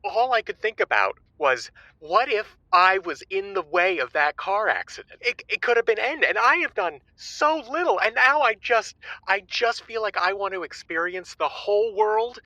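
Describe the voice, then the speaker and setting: A man's voice: high-pitched, lively intonation, moderate pace, hardly any pauses, pushed and bright, no echo, lo-fi sound. An actor playing an anxious, naive man calling a radio show, emotional, breathless and easily overwhelmed. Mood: gushing, awestruck. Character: gushing, anxious, naive sheltered male radio caller. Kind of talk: comedic audio drama acting.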